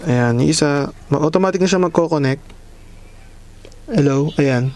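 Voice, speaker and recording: A man's voice: on the high side, normal speed, darkish tone. A man casually unboxing and reviewing a budget helmet intercom, talking straight to his viewers and calling them bosses. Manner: chatty, addresses viewers as bosses. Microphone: camera mic, sometimes through intercom earpiece.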